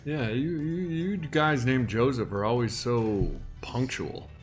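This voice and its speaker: A man hosting a casual recovery live stream from home, greeting viewers by name and trading deadpan jokes. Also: mid-range voice, takes it slowly, soft background music.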